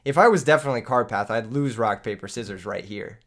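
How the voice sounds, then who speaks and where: A young man narrates his card game match while recovering from an illness that has taken his voice. He talks casually, making fun of himself and laughing between plays. His pitch sits in a middling range. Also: fast. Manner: joking, self-mocking, laughs often. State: lost voice from illness. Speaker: gaming YouTuber. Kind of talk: live gameplay commentary.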